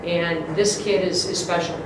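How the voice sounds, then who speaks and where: A woman's voice: on the low side, steady tone, a little slow. A woman in her fifties, a basketball coach at a postgame press conference, speaking in an upbeat, emphatic way with many restarts and fillers. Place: press room, indoors. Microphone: desk mic on stand. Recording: echoey room, mic at a distance, faint hiss.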